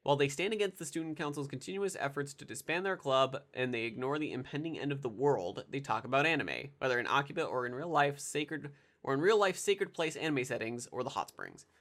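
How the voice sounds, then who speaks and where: A man's voice: mid-range voice, animated, fairly quick, fairly bright tone. A congested young man with a nasal voice, rambling casually through anime commentary with lots of fillers and restarts. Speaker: anime video reviewer.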